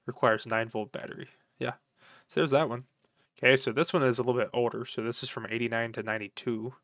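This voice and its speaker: Bright-voiced man rambling casually. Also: mid-range voice, steady tone, fast, dry recording, clean studio sound. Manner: informal, folksy grammar. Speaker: YouTube vlogger, vintage electronics collector. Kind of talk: casual rambling vlog chat.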